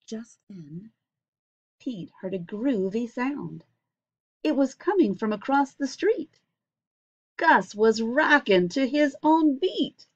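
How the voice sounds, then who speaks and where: Middle aged lady doing a storytime read aloud, really animated, lo-fi webcam sound. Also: on the high side. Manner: expressive, deliberate phrasing for children. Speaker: storybook reader. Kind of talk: children's picture book read-aloud. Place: small indoor home room. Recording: dull lo-fi webcam recording.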